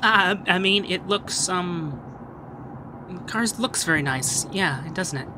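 A man playing a shy, sweet young femboy heir in an ASMR roleplay, hesitant and gently flustered, stammering as he talks. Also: sits high, expressive, slow pace, noisy background. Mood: bashful. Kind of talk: ASMR roleplay audio drama.

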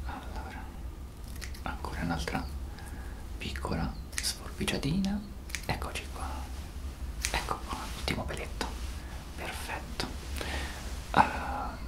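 A man in his thirties playing a sly, unflappable gynecologist in a soft-spoken ASMR roleplay, murmuring hesitantly in breathy, often whispered speech. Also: deep voice, full character voice. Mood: whispering, focused. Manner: slow, hesitant, murmuring with hums. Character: sly, unflappable male gynecologist examining a patient.

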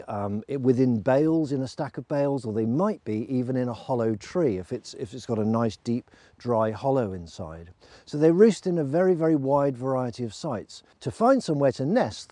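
A middle-aged British man with a mellow, soft-edged voice presents a wildlife explainer. He teaches by asking questions and answering them himself. The sound is close and dry, with an average male pitch. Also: dry recording. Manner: asks rhetorical questions, then answers them. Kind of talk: explanatory talk to camera for a nature film. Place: outdoors on open farmland hillside.

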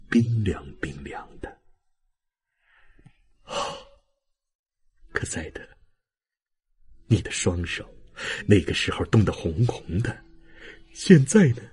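A man performing an audiobook as a frail, dying old father, tender and humble, with halting, sentimental delivery and a husky, breathy voice. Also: low voice, a little mellow, exaggerated voice. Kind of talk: dramatic audiobook reading of a classic novel.

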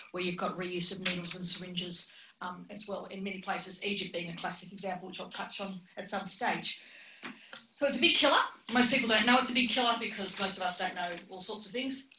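A woman's voice: mid-range voice, pushed and bright, distant mic. A middle-aged woman, a public health physician, giving a frank, chatty, self-deprecating conference lecture. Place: lecture hall with projected slides. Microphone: lectern microphone, often far from it. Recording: echoey hall, speaker off-mic, faint noise.

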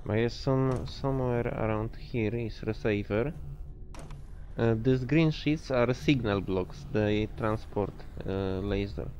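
A man's voice: on the low side, slow, darkish tone, a little hiss or hum. A man giving casual gameplay commentary, thinking aloud and swearing freely as he plays. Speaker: gamer commentating own gameplay.

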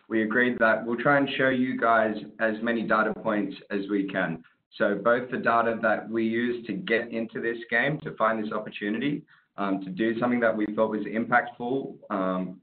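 A man giving a casual, upbeat webinar presentation over a video call, often tagging on "right?". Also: lowish voice. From Australia. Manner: casual, upbeat, tag questions. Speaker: startup presenter. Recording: tinny call audio.

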